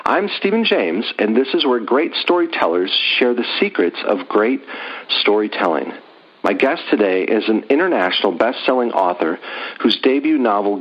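A man hosting a podcast, interviewing a guest in a friendly, self-deprecating way. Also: on the low side. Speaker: podcast host. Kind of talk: interview conversation. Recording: muffled lo-fi audio.

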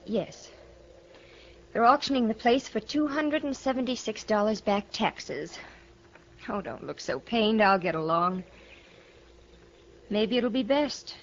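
A woman's voice: fairly high pitch, slow, long pauses. An American woman playing a proud, weary young widow in a radio western, guarded and full of wounded dignity. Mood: hurt, resigned. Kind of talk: 1950s radio western acting. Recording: muffled, slightly noisy old radio broadcast.

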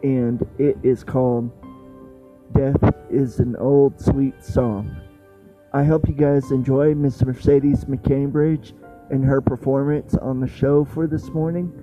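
Very dark-voiced, slow-paced male podcast host. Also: mid-range voice, little pitch movement. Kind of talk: podcast introduction, plot summary. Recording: dull lo-fi, faint music behind.

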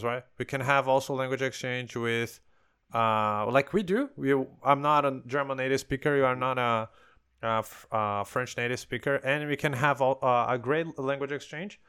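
A man with a smooth, clear voice at a middling pitch hosts a relaxed conversational interview. He speaks non-native English in an explanatory way, restarting words as he builds toward his questions. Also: moderate pace. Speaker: podcast host.

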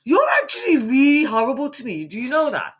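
A British woman with a stuffy, congested voice trades crude, swear-filled banter with a friend. Her pitch swings widely from line to line as she teases and shouts. Her delivery stays loud and playful. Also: wildly swooping pitch, a little fast. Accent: British English. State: congested with a cold. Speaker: podcast co-host.

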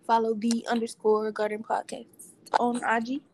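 A playful woman co-hosting a casual podcast. Her voice sits a little high, and she laughs often through slangy, easygoing talk. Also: flat delivery, moderate pace, darkish tone, rough recording. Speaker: podcast co-host. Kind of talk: casual chat.

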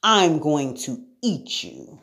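Woman voicing a bad-tempered, bossy storybook lion, slow and commanding. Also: low voice, wildly swooping pitch, fairly bright tone, heavily acted. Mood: menacing, jeering. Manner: roaring, snapping, jeering. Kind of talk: children's storybook character voice.

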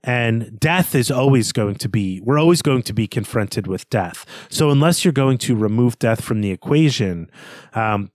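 A man's voice: on the low side, no echo, clean studio sound. A man co-hosting a podcast in a literary discussion, earnest and analytical, often repeating and rephrasing his words as he goes. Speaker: podcast co-host.

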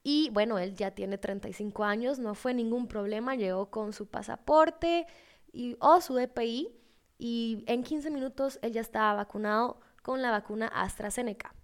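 Smooth, higher-voiced young Guatemalan woman. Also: moderate pace. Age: 20s. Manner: chatty, playful, acts out dialogue in anecdotes. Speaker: podcast host. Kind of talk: casual podcast storytelling.

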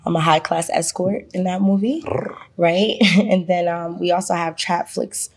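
A woman's voice: fairly low pitch, measured pace, breathy edge. A woman with a heavy Boston accent, a guest talking casually and laughing often, with plenty of ums. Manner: lively, laughing, many ums. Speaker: guest, actress. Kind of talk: casual interview chat.

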